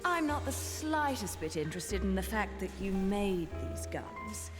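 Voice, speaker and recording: A haughty gunfighter from a video game, slow and swooping in her mocking delivery. Long reverb trails her words over loud music. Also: medium pitch, sing-song. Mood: cold, threatening. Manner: drawling, mocking, theatrical. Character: haughty, sultry, teasing woman gunfighter, dangerous and unhurried. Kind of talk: video game cutscene acting.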